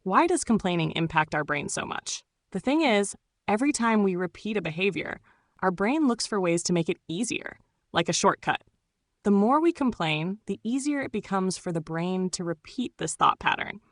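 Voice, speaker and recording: A woman delivering a scripted explainer in a measured, instructive way, often posing rhetorical questions as a mindfulness host. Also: mid-range voice, animated, dry recording, clean studio sound.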